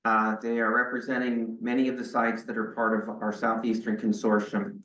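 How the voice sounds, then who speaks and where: A man's voice: lowish voice, flat delivery, normal speed, a bit bright. A man moderating the panel and courteously thanking speakers and audience. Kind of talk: closing remarks. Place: hotel conference room, at a podium. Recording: echoey room, distant mic.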